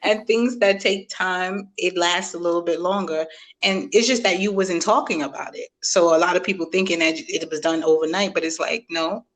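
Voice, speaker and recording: A woman's voice: on the low side, steady tone, normal speed. A woman hosting a podcast interview, chatty and laughing often, acting out quoted reactions in casual talk. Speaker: podcast host.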